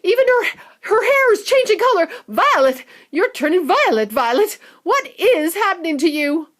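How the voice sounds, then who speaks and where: A woman reading a storybook aloud and voicing a panicked, screaming mother in a very high shrill voice. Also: big pitch swings, normal speed. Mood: hysterical. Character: shrieking panicked mother, middle-aged woman.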